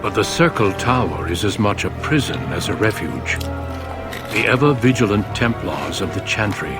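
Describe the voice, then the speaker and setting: An actor narrating a fantasy video game intro as a grave storyteller, measured, formal and ominous. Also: male voice, lowish voice, big pitch swings. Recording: loud music under narration.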